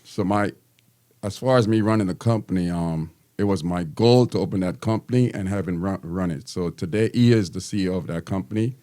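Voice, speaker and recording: A man in his fifties from Guyana, a teacher and basketball coach, answering interview questions in a friendly, halting way with many ums. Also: sits low, dark tone.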